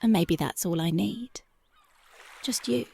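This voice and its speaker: A woman playing a gravelly, slow punk girlfriend. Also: fairly low pitch, heavily acted. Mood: tender, dreamy. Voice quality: soft, intimate, partly whispered. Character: tough, devoted young punk girlfriend, tender at night. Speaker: voice actress. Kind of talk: ASMR girlfriend roleplay.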